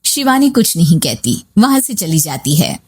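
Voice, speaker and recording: A woman's voice: fairly low pitch, sing-song, moderate pace, slightly rough. A woman reading scripted narration for a moral family story.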